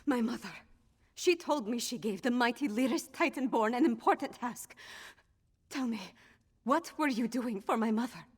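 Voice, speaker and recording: Here is a grieving young princess from a fantasy game. Her voice is slightly rough and breathy, and she speaks with earnest, intense determination and a strong will. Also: fairly high pitch, moderate pace. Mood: questioning.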